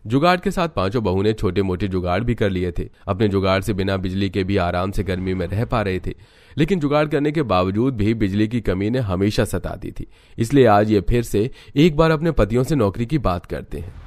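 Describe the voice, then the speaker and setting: Low-pitched man narrating a story. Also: animated, brisk, darkish tone. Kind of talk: scripted cartoon story narration.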